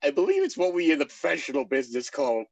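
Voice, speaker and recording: A podcast co-host bantering casually and comically around a read-aloud story. The delivery is extremely animated, jumping around in pitch, with a teasing, joking manner. The sound is dry with no room to it. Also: fast, no echo. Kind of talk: casual comedic banter while reading fan fiction aloud.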